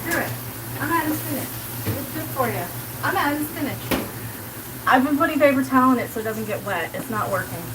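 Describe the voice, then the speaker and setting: A chatty woman in her forties with a South Kansas accent, talking to her stream as she cooks. Her voice sits a little high, and she rambles loosely, filling her sentences with "like." A pan sizzles clearly behind her. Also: lively intonation, a little fast, noisy background. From Colorado. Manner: chatty, rambling, heavy use of "like", answers chat aloud. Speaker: livestreamer. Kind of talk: casual live-stream chat while cooking. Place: home kitchen.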